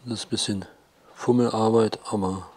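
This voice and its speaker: A hoarse man with a cold, explaining gardening in a plain, matter-of-fact way with slightly broken German. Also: lowish voice, steady tone, moderate pace, a little mellow. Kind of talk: casual explanation. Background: clinking dishes and cutlery.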